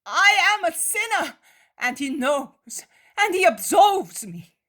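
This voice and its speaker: A woman voicing a guilt-ridden, torn male disciple who pleads in high-strung outbursts. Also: big pitch swings, slow pace, a bit bright, heavily acted. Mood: despairing.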